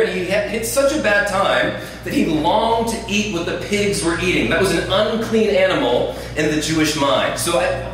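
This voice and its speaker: A man preaching a sermon to a live audience in a loud, conversational voice, often checking that listeners follow. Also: high voice, slight background noise. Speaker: guest preacher. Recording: very echoey room, distant mic, faint ambience.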